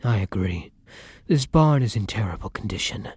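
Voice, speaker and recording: A man playing a tender, weary vampire lover in an intimate ASMR romance roleplay, speaking gently and courteously in a breathy voice that often drops into whispers. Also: on the low side, animated, moderate pace, dry recording. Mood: weary, gentle. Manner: gentle, courtly, earnest endearments.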